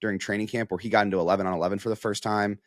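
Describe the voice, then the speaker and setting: A man's voice: fairly low pitch, fairly even intonation, very fast, no echo, studio quality. A man with an American accent giving casual sports analysis as a reporter, thinking aloud in a conversational way. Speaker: sports reporter, show guest.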